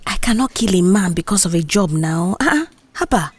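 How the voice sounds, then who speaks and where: A woman's voice: lowish voice, lively intonation, fairly quick, a little mellow, slightly rough. A woman playing an anxious, easily alarmed young office worker with a Nigerian accent and a hesitant, faltering delivery, in an audio drama. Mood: shocked. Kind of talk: audio drama character dialogue.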